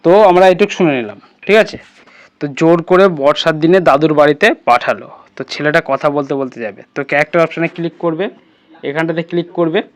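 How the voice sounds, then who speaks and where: A man's voice: a little high, fast. A man hosting an informal step-by-step tutorial, instructing and often checking that listeners follow. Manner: instructional, repeatedly checking understanding. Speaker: tutorial host.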